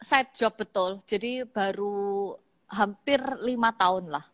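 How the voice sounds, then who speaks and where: A woman in her thirties from East Java with a Javanese accent, chatting casually as a guest on a live-stream interview, laughing easily and filling pauses with hesitations. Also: mid-range voice, a bit bright, no room sound. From Malang, East Java, Indonesia. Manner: chatty, laughs easily, many fillers and restarts. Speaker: guest, makeup artist. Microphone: phone on an online live stream.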